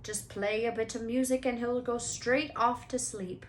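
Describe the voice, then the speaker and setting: A woman's voice: fairly high pitch, steady tone, measured pace, slight background noise, pitched above the performer's own voice, lightly acted. A woman voicing a big friendly, rambling gamekeeper man in a children's book read-aloud. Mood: casual, rambling. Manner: folksy, rambling, blurting.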